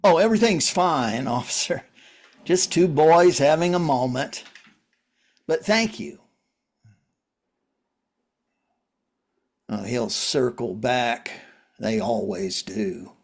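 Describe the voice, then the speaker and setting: A man playing a world-weary, wry survivor in a drama reading, talking in a halting, conversational way. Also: mid-range voice, expressive. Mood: firm, wry.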